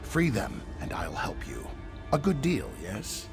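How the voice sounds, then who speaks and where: A man's voice: medium pitch, huge pitch swings, slow pace, vocal fry, over music, heavily acted. A man playing a wary, sardonic lizard-folk survivor in a video game, speaking with dry sarcasm. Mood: urgent, bargaining. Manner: wry, dry sarcasm, clipped questions tagged on statements. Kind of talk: video game character dialogue.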